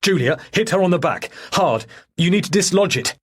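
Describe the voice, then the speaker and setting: A man's voice: high voice, lively intonation, moderate pace, husky, pitched above the performer's own voice. A man voicing an intense, controlling, protective father in an audiobook, urgent and insistent. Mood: panicked, urgent.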